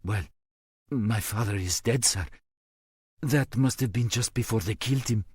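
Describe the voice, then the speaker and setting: Guy putting on a nervous young man with a thick accent, a bit higher than his own deep voice, hesitant, dry sound. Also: a little slow, darkish tone, slightly rough, no echo. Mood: tentative. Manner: hesitant, stammering.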